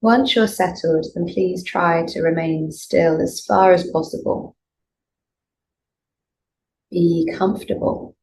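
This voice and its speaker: A woman teaching yoga nidra, leading a guided meditation in a gentle, soothing, unhurried way with pauses. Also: on the low side, low-quality recording. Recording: clear background noise, room ambience.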